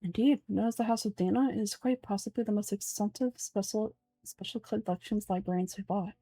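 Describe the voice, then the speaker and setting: A woman voicing a composed, erudite and aloof scholar from a fantasy game, speaking in a formal, measured, deadpan way. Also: mid-range voice, slightly rough. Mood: composed, informative.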